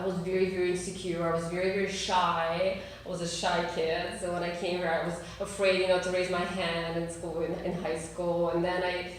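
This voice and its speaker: A woman in her thirties who grew up in Russia and Armenia, with Russian as her first language, telling her life story in a casual interview with many pauses and restarts. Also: fairly low pitch, very echoey, distant mic, a little hiss or hum, poor audio. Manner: hesitant, many fillers and restarts, frequent laughs. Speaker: interview guest.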